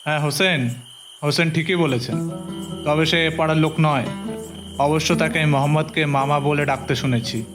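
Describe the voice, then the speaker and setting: A man's voice: on the high side, steady tone, moderate pace, pauses often, music in the background, natural voice. A man playing a stout neighbourhood gossip in an audio drama, emphatic and accusing yet deferential. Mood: accusing, gossipy.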